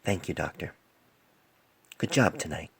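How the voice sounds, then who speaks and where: A man voicing a stern, grieving middle-aged female pony doctor in an audio storybook, grave and measured, on an amateur phone recording. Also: sits low, creaky, a bit bright, slightly rough, full character voice. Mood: soft, weary. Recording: noisy amateur cell phone mic recording.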